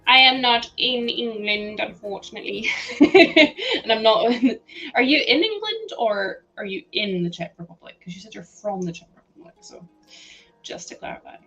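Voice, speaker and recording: A woman's voice: fairly high pitch, lively intonation, moderate pace, fairly bright tone, highs cut off, faint music behind. A Scottish woman from Scotland chatting casually on a live stream, giggling often as she answers her chat. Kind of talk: casual live-stream chat while sorting building parts.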